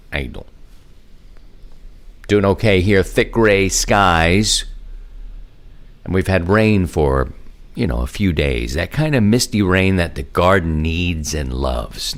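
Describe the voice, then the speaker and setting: Male podcast host, low register, casual conversational delivery in short, halting phrases. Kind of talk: casual solo podcast talk.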